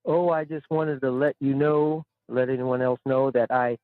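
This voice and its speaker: A man's voice: mid-range voice, fairly even intonation, moderate pace, dry recording. Older man calling in on a tinny line. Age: 60s. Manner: rambling, repeats words, chuckles. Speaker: caller. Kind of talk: call-in radio chat. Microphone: telephone.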